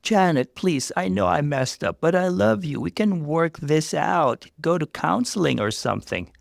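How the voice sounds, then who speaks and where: A man's voice: fairly high pitch, animated, normal speed, creaky, dry recording, clean studio sound, pitched above the performer's own voice. A man voicing a guilty husband in a narrated story, pleading and flustered. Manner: stammering, pleading.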